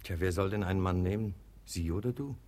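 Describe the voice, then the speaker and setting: An actor playing a sensible middle-aged brother in a German radio comedy, reasoning patiently with dry, teasing mockery. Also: male voice, low voice, fairly quick, darkish tone, natural voice. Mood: calm, ironic. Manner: reasoning, dryly mocking. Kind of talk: German radio play acting of classic comedy.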